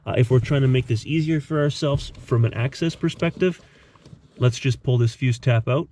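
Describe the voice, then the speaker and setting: A man's voice: mid-range voice, normal speed, dark tone, slight background noise. A man narrating a casual hands-on DIY tutorial in a methodical, explanatory way. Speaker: host of a DIY car repair tutorial.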